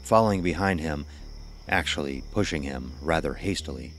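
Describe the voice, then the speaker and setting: A man's voice: a bass voice, moderate pace, a bit bright, slight background noise. A man narrating a scripted horror story as its storyteller.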